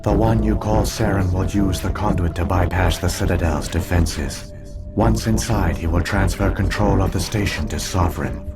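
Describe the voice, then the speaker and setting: A man voicing an ancient alien virtual intelligence in a sci-fi game, speaking in a measured, formal, solemn way. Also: deep voice, loud music behind the voice. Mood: grave. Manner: measured, formal, grave exposition. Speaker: game character voice actor. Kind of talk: dramatic sci-fi game dialogue.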